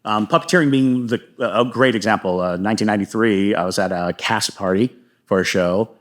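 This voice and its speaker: A middle-aged man with an American accent, chatting earnestly and at length as an interview guest, telling stories in an animated way. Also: on the low side, brisk. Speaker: podcast guest, filmmaker and puppeteer. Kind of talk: casual interview chat.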